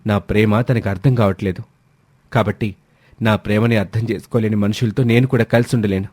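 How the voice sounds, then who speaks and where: A man's voice: fairly low pitch, steady tone, a little fast, darkish tone, dry recording, natural voice. Man acting angry wounded young husband, dry sound. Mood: angry, hurt. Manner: emphatic, indignant declarations.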